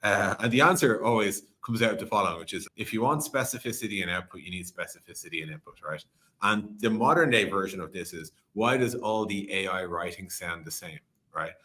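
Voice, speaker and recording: A man's voice: low-pitched, sharp tone. A man speaking as a podcast guest in a conversational tech interview, explaining animatedly with analogies and quoted examples.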